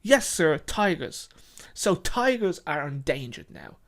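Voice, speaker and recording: Charity canvasser in a short story, acted by a male narrator: nice, patient, pitched up, salesmanlike. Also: very animated, slow pace, a bit bright, exaggerated voice. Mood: polite, eager.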